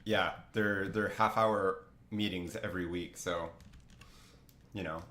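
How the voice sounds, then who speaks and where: Deep-voiced guy drawing on stream, sniffly, rambly, echoey room, bit of hiss. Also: steady tone, slow pace, a bit bright. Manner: rambling, many fillers and restarts. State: sniffly from a cold. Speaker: artist streaming a drawing session. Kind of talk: casual livestream chat while drawing.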